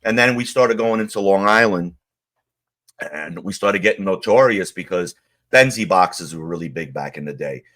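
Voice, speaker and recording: A middle-aged man from Queens, his voice sitting low. He talks casually and rambles in a streetwise way, filling gaps with "you know" as he tells his stories in an interview. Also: normal speed, fairly bright tone. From Queens, New York. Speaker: interview guest. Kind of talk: casual storytelling.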